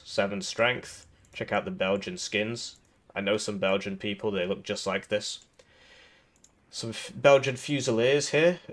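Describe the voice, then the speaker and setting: A man with a cold, sounding a little throaty, giving casual rambling commentary over a strategy game with lots of fillers and restarts. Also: lowish voice. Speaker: gaming video creator.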